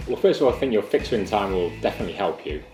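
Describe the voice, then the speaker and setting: Fast, deep-voiced man explaining. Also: expressive, roomy sound, music in the background. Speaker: helicopter flying instructor. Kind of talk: explanatory interview chat.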